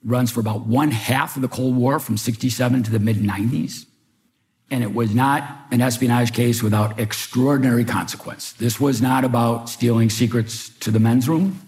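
An older man from Chicago, a former agent, telling his own story in wry, halting fashion at a public talk. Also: mid-range voice, a little mellow. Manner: wry storytelling with hesitant restarts. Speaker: panel speaker, former FBI agent. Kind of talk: public talk, personal recollection. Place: museum event room.

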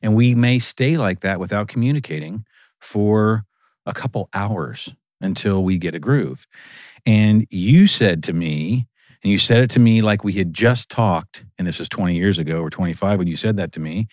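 Low-pitched man, lively host, dry close sound. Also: normal speed, no echo. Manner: animated, laughs often, repeats "right". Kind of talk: casual conversation.

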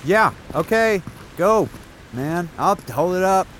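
A man's voice: high voice, expressive, takes it slowly, fairly bright tone, a little hiss or hum, heavily acted. An actor playing a casual, nosy, friendly male coworker in an audio drama, informal and a little hesitant. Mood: chummy, probing. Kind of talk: naturalistic audio drama acting.

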